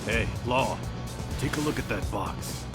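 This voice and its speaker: A man playing a brash, greedy, excitable martial artist in a video game cutscene, with a gravelly voice. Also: medium pitch, unhurried, loud music behind the voice, close to an everyday voice. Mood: scheming.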